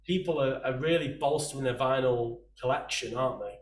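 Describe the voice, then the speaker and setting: Male host, soft voice, loose chatty delivery, roomy distant sound. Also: mid-range voice, brisk. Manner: chatty, rambling, many restarts. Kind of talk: casual chat.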